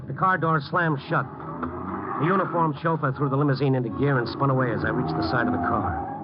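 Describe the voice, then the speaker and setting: An actor playing a wry, world-weary newspaper reporter in an old radio drama, talking and narrating in terse slang. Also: male voice, medium pitch, fairly quick, background noise, natural voice. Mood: tense narration. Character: wry, hard-boiled newspaper reporter, loyal and protective. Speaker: actor in the lead role and narrator. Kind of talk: 1940s-50s radio drama acting, first-person narration. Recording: dull old radio recording, music behind.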